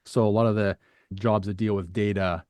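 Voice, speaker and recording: Male podcast host, low-pitched, dry close sound, conversational and discursive as he works through questions. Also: steady tone, moderate pace, darkish tone, no room sound. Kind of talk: conversational interview.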